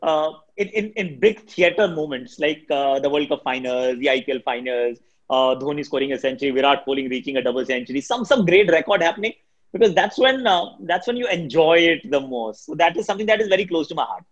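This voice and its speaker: Shrill-voiced Indian man talking fast. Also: highs cut off. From Delhi, India. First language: Hindi. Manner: fast, energetic talker. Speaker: cricket commentator. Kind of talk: interview answers.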